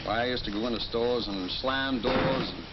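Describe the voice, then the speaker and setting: A man's voice: mid-range voice, moderate pace, lightly acted. A man with an American accent playing a folksy, eager bread route salesman explaining his job in a 1950s training film. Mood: confident, explaining. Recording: noisy, muffled 1950s film soundtrack.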